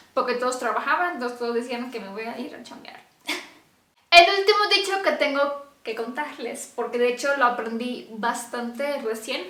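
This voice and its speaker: Young woman, high bright voice, chatty, laughs a lot, roomy echo. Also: normal speed. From Romania. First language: Romanian. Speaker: vlogger. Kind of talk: casual chat to camera. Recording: echoey room.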